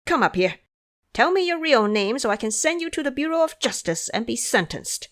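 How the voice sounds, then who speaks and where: A woman's voice: high-pitched, big pitch swings, moderate pace, a bit bright, voice pushed up. A woman voicing a proud, suspicious young crown prince in an audio drama, with a commanding manner. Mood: angry. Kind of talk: audio drama character acting.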